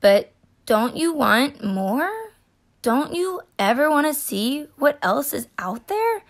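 A woman voicing a restless, bored male storybook character, a state, with dramatic drawn-out emphasis. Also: high-pitched, very animated, exaggerated voice. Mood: earnest, pleading.

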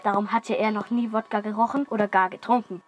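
A woman's voice: medium pitch, steady tone, fast. A woman reading scripted narration for a children's radio play. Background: music.

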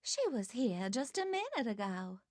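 A man's voice: very high-pitched, huge pitch swings, normal speed, dry recording, studio quality, voice pushed up, full character voice. A man voicing a friendly wife in a light, sweet, raised voice in a storybook reading. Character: friendly wife on her patio.

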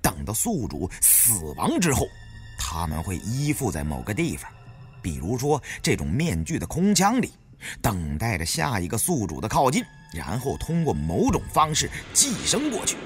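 A man's voice: on the low side, wildly swooping pitch, moderate pace, faint music behind. A man narrating an audiobook as a dramatic first-person storyteller.

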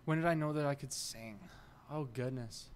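A young adult man, a musician guest in a podcast interview, talking earnestly in animated bursts with many restarts, at a table mic in a small studio room. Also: medium pitch, normal speed. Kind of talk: casual interview chat. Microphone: boom mic on the table.

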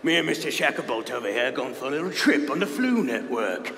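A man playing a gruff, villainous impostor posing as a veteran professor in a comedy staged reading, blustering and menacing with mock friendliness. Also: mid-range voice, very animated, a little fast, crowd noise. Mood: blustering threat. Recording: noisy echoey hall with long reverb.

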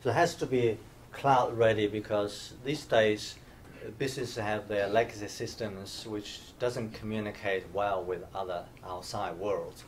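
Man, middle-aged, hesitant lecture, low pitch, faint room noise. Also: fairly even intonation, a little slow. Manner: hesitant, many fillers and restarts. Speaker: invited speaker, professor. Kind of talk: conference lecture. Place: dim lecture room, standing by projector screen.

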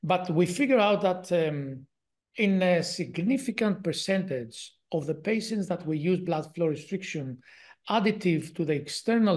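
A man's voice: sits high, a little mellow. Greek-accented man on a video call. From Greece. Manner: hesitant, many fillers and restarts. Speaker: guest researcher. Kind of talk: casual interview talk. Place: home office with shelves.

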